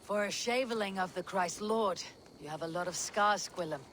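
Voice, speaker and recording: An actor playing a steady, wry young Viking warrior in a video game, with a measured, slightly formal manner. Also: male voice, high-pitched, slight background noise. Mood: wry, merry. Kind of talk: dramatic video game acting.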